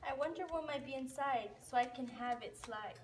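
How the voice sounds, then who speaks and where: A woman's voice: high-pitched, normal speed, close to an everyday voice. A woman playing a fussy, scheming cottage sister in an amateur rhyming puppet show. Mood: complaining. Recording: distant mic, clearly noisy, clicks.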